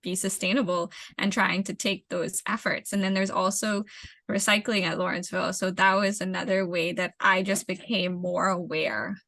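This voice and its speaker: A young adult woman from the Bahamas, an urban planner, giving measured, explanatory answers in an interview and repeating words as she thinks. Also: fairly low pitch, little pitch movement, creaky, bright, forceful tone, dry recording.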